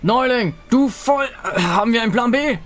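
A man voicing an irritable male warrior in a fantasy game, sharp, scolding and reproachful. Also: extremely high pitch, animated, normal speed, pitched above the performer's own voice. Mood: exasperated.

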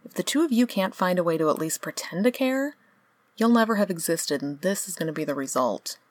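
Low, weary, sardonic woman guide, acted out. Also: expressive, a little fast, slight background noise, lightly acted. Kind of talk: comedic radio play acting.

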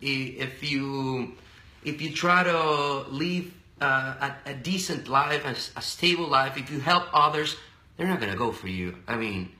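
A man's voice: mid-range voice, a little slow, pushed and bright. A man in his thirties with a Venezuelan accent, a guest speaking earnestly in a casual interview, often restarting his words. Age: 30s. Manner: earnest and upbeat, many restarts and stammers. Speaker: guest, radio and TV personality. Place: small indoor office room. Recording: echoey small room.

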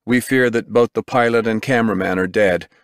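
Man voicing news reporter, urgent, raised, higher pitched, tense. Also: fairly quick, clear, resonant tone, no echo, clean studio sound, natural voice. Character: male on-scene TV reporter, tense, breathless.

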